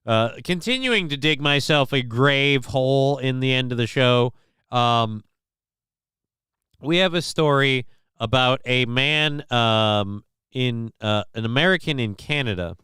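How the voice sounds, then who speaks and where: A man's voice: mid-range voice, lively intonation, a little slow, bright, forceful tone, smooth, dry recording, clean studio sound. A man from Minnesota hosting a casual live show, rambling and swearing while he answers the chat, with lots of restarts and fillers.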